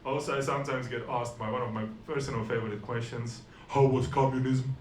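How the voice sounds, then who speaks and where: A man delivers stand-up in a dry, self-deprecating way, often stumbling and restarting his sentences. His voice sits at an ordinary middle pitch. The room is echoey, with a faint hiss under him. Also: fairly even intonation, a little fast, darkish tone. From Estonia. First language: Russian and Estonian. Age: 40s. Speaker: stand-up comedian. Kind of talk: stand-up comedy set.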